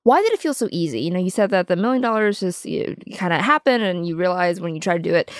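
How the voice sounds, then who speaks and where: A woman's voice: on the low side, animated, fast, no room sound, studio quality. A woman podcast host interviewing a guest in an engaged, conversational way. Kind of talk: conversational interview with scripted intro.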